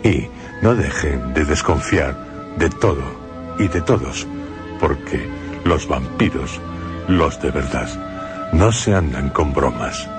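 A man's voice: extremely low pitch, expressive, slow pace. A middle-aged man hosting a radio drama, narrating in a grave, ominous storytelling manner. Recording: music under the voice.

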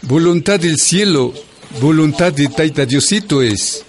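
Meek peasant, high-pitched. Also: male voice, lively intonation, measured pace. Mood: resigned, pious. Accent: Ecuadorian Andean. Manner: submissive, pleading. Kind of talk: radio drama acting.

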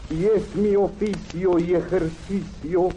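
A man performs a solemn, self-important knight errant from radio theatre. He declaims slowly and grandly in a fairly high, soft-edged voice. A faint noise sits behind him. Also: fairly even intonation, rough recording. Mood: solemn, proud. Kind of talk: old radio theatre acting.

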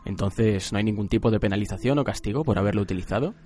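A man's voice: fairly low pitch, quick pace, mellow, soft background music, close to an everyday voice. A man playing a cold, arrogant young man who sees himself as a god of justice, solemn and grandiose, in an anime radio drama. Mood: cool, curious.

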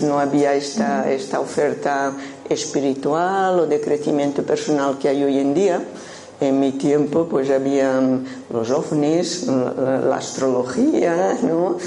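Slow, mellow Menorcan woman teaching. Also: low voice, steady tone. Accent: Menorcan Spanish. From Menorca, Spain. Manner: unhurried, thoughtful, with frequent hesitations. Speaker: Buddhist teacher. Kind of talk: informal talk to an audience. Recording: roomy hall with faint background noise.

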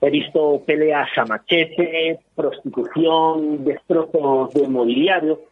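A man's voice: a little high, steady tone, slow. A man in his thirties from Spain, co-hosting a talk radio discussion and making his points with personal examples. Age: 30s. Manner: earnest, illustrates with personal examples. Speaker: co-host. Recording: tinny phone line.

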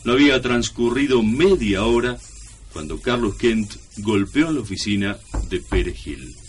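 A man giving a measured, mock-solemn scripted narration for a radio serial. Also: on the low side, expressive, slight background noise.

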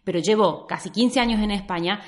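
A woman with a Córdoba Argentine accent speaks straight to camera. She talks in an animated, direct, encouraging way, with a mid-range voice. A few Spain Spanish words slip into her speech. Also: mid-range voice, fairly quick. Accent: Córdoba Argentine Spanish with some Spain Spanish words. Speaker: social media coach. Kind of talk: direct-to-camera advice vlog.

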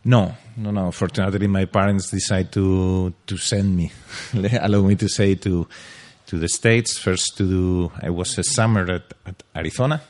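Man, spanish accent, very low voice, halting, interview guest. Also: fairly even intonation, a little mellow. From Spain. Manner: hesitant, repeats words, fillers. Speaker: interview guest, car brand executive. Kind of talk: casual interview.

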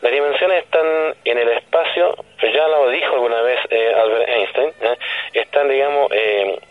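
A Chilean man talks as a guest in a radio interview, at a middling pitch. He explains his ideas like a teacher, posing questions and checking that the listener follows. The sound is dull and lo-fi, like a telephone link. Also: normal speed, no echo. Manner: didactic, asks rhetorical questions and checks understanding. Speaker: radio guest, paranormal commentator.

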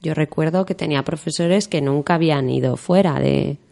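A woman from Spain with a Madrid accent, hosting a podcast interview and speaking slowly and clearly for learners. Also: sits low, mellow, no room sound. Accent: Madrid Spanish. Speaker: podcast host and Spanish teacher.

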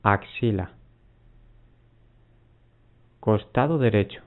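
A man guiding a mindfulness body scan, giving unhurried instructions in short phrases with long pauses. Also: fairly low pitch, creaky. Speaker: meditation guide. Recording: muffled, phone-like narrow band.

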